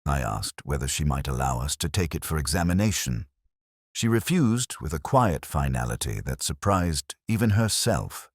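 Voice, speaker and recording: A man reading a scripted first-person detective story as its narrator, in a measured, reflective, literary manner. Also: very deep voice, no room sound, clean studio sound.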